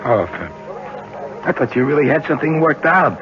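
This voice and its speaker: A man's voice: a little high, normal speed, close to an everyday voice. An actor playing a bitter, wronged businessman, terse, dry and grimly resolved, in 1970s radio drama style. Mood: wary, dry. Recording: dull 1970s radio recording, music behind.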